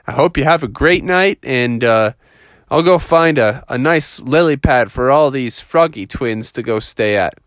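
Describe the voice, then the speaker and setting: A man's voice: mid-range voice, lively intonation, measured pace, dry recording, clean studio sound, close to an everyday voice. A man voicing a grand, obliging storybook genie of the lamp, proclaiming yet friendly.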